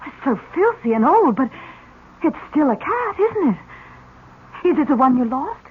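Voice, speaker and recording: High-voiced, prodding, anxious woman. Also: very animated, a little slow. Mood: urgent, uneasy. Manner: dramatic, questioning. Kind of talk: 1970s radio drama acting. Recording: tinny old radio broadcast recording.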